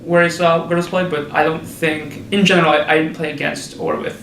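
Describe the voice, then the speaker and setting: A young man from Connecticut answers hesitantly and restarts his sentences. His voice sits on the higher side. The room around him is echoey. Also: fairly even intonation, a little fast, fairly bright tone, a little hiss or hum. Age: young adult. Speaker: student.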